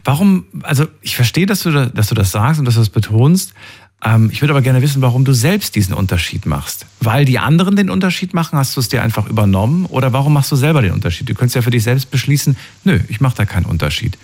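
Male interviewer, conversational, presses with follow-up questions, mid-pitched voice. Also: lively intonation, fast talker, darkish tone. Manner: probing, asks follow-up questions.

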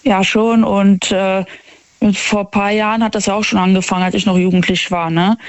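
High-voiced, halting young male caller. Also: little pitch movement, smooth, no room sound, dull audio. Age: young adult. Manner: halting, repeats words and syllables when nervous. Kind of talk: casual opinionated phone-in talk.

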